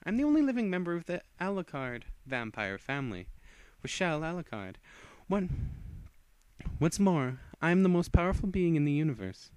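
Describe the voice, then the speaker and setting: A man's voice: fairly high pitch, very animated, moderate pace, a little mellow, clear, resonant tone, no echo, voice pushed up. A man voicing a haughty, furious royal vampire woman who declaims boastfully in a fan fiction story. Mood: haughty, indignant.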